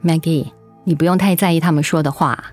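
An actress playing an authoritative female general manager in a radio drama, composed, deliberate and pointed. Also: low-pitched, steady tone, a little mellow, faint music behind. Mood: reassuring, frank.

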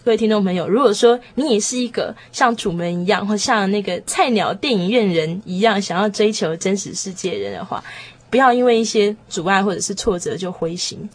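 A woman talks informally on a radio show. Her voice has a husky, breathy grain and sits at an average pitch. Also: a little fast. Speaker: participant on a radio programme. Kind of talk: casual address to listeners.

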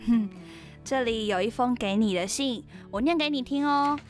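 A woman's voice: on the high side, normal speed, soft background music, close to an everyday voice. A woman with a Taiwanese Mandarin accent playing a playful, meddling young dorm mate in a podcast radio drama.

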